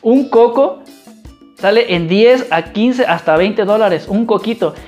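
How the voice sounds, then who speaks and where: Mexican man, informal halting vlogger. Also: high voice, lo-fi sound, faint music behind. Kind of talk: casual chat answering viewer questions.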